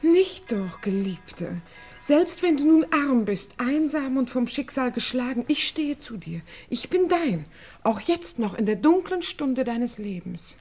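An actress playing a gushing, affected society sweetheart in a melodramatic German radio crime play. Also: female voice, high-pitched, animated, a little slow, darkish tone, slightly rough, soft background music, exaggerated voice. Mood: melodramatic devotion.

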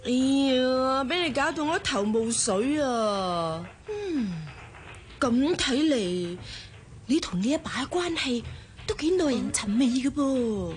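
Lively high-pitched nosy young Hong Kong office woman. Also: takes it slowly, slight background noise. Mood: puzzled, nosy. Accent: Hong Kong Cantonese. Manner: gossipy, prying, exclamatory. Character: nosy, chatty young female office colleague. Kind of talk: Cantonese radio drama acting.

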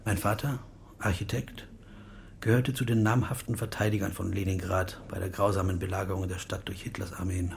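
An actor playing a grave, bitter Russian dissident intellectual in a radio drama, speaking in a deliberate, confiding way. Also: male voice, sits low, level tone, gravelly, slight background noise. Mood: quiet confession.

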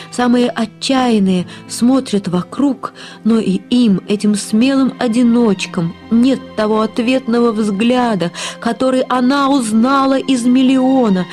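Higher-voiced woman narrating literary fiction. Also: darkish tone. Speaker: story narrator, actress.

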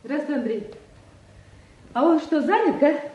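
An actress playing a calm young woman in a naturalistic drama, composed and lightly ironic as she probes and teases. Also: high voice, unhurried, lo-fi sound. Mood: friendly, curious. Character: calm, gently teasing young woman photographer visiting. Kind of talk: naturalistic stage and radio drama acting.

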